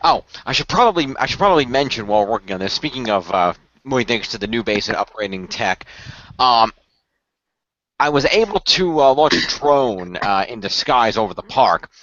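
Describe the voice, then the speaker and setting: A man playing an earnest tech expert in an amateur radio play, explaining things in a wordy, halting, technical way. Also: medium pitch, big pitch swings, fairly bright tone. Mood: concerned, explanatory. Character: earnest male tech expert of a hero team, wordy planner. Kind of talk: amateur radio play, improvised-sounding dialogue. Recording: dull lo-fi voice recording.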